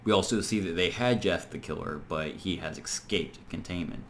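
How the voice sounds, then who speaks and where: A man's voice: deep voice, moderate pace, vocal fry, noisy background. A man hosting an informal video essay, recapping and commenting in a rambling, conversational way. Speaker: video reviewer and host.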